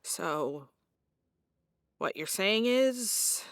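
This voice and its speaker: A woman's voice: medium pitch, expressive, extremely slow, dry recording, studio quality. An actress playing an earnest, naive woman reporter in an audio drama, hesitant and incredulous in manner. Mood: disbelieving. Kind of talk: naturalistic audio drama dialogue.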